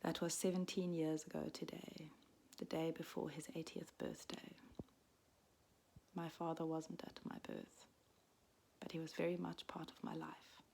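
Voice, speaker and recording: A woman in her forties, raised in Switzerland, reading a personal story aloud in a soft, reflective way that often drops to a half-whisper. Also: low-pitched, flat delivery, unhurried, vocal fry. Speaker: midwife and storyteller.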